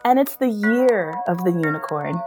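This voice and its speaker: A woman co-hosting a casual podcast, upbeat and relaxed, with frequent fillers. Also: on the low side, normal speed, over music. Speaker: podcast co-host.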